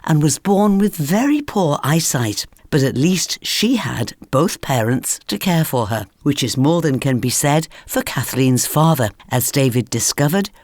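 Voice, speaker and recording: A woman's voice: low-pitched, lively intonation, a little slow, hardly any pauses, a little mellow, no echo. A woman hosting a radio programme, reading scripted narration in a storytelling way and addressing listeners directly.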